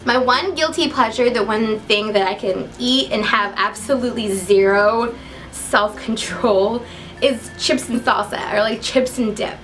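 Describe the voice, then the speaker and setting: A woman's voice: fairly high pitch, measured pace, fairly bright tone, soft background music, low-quality recording. A young woman with an American accent chatting casually to camera as a vlogger, in a giggly, upbeat and talkative manner. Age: young adult. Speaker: vlogger introducing herself.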